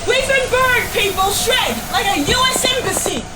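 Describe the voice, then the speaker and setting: A woman's voice: very high-pitched, lively intonation, normal speed, far from the mic, very noisy. A woman in an audio drama playing a fierce, scornful, theatrical villain. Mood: furious, alarmed. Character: fierce, theatrical female villain, cornered and commanding.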